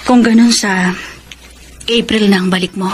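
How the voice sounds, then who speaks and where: A woman plays a gentle, troubled wife in a radio drama. Her mid-range voice is meek and tinged with suspicion. There is faint noise in the background. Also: medium pitch, steady tone, moderate pace, lightly acted. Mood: subdued, polite. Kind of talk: Filipino radio drama acting.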